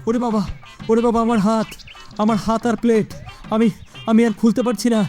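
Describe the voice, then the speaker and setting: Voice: medium pitch, completely flat delivery, brisk, a little mellow, soft background music, close to an everyday voice. A performer voicing a distraught, whining villager in a cartoon, wailing in repeated phrases. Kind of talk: cartoon voice acting.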